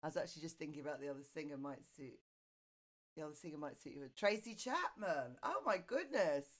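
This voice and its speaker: A middle-aged woman with a low voice, casually chatting to viewers on her live request stream and muttering quietly to herself, close on a studio mic. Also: animated, a little fast. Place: home music room, indoors. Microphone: studio condenser mic on boom arm, wearing headphones.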